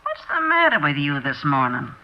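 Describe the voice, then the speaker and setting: A woman's voice: low voice, huge pitch swings, moderate pace, lo-fi sound, full character voice. An actress playing a nagging, impatient landlady in a 1940s radio drama, brusque and scolding, with an American accent.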